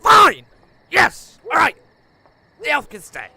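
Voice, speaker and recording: A man playing an irascible, foul-mouthed gnome alchemist in a fantasy drama, with a gravelly, strained voice that lurches between mutters and outbursts. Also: extremely high pitch, wildly swooping pitch, takes it slowly, pushed and bright, shouting, full character voice. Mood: grudging.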